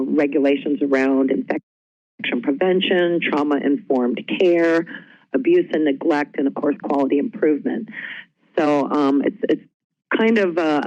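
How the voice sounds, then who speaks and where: A woman's voice: sits low, darkish tone. A woman panelist giving an informal, unscripted explanation as an expert. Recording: dull conference call audio.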